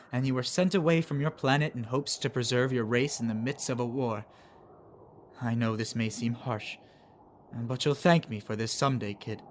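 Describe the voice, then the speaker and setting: A man voicing a confident alien soldier and aide in an animation, speaking in a measured, earnest way. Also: medium pitch, a little hiss or hum, natural voice. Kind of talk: amateur animation voice acting.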